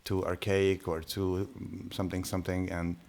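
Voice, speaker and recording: Hungarian-native man, low creaky voice, halting podcast chatter. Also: monotone, a little mellow. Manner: hesitant, many restarts and fillers. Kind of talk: casual conversation.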